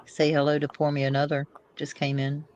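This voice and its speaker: A woman's voice: sits low, completely flat delivery, fairly quick, a little mellow, lo-fi sound. A woman co-hosting a casual livestream, chatting and reading script lines aloud. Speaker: co-host and script reader.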